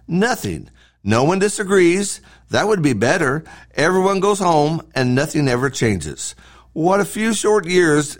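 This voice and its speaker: A middle-aged man reads a devotional message as a preacher would. His voice sits a little high and swings widely in pitch. The phrasing is earnest and emphatic, with key words leaned on. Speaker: preacher hosting a devotional podcast. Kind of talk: scripted devotional talk, read aloud.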